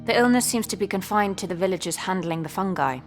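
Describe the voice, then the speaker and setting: A woman's voice: mid-range voice, fast talker, over music, lightly acted. A woman playing an earnest scientist in a sci-fi audio drama. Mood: earnest, reasoning.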